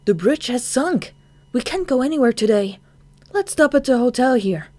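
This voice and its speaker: Lady reading as a young boy, high and eager, kinda urgent. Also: lively intonation, normal speed, warm tone, no echo. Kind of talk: audiobook reading.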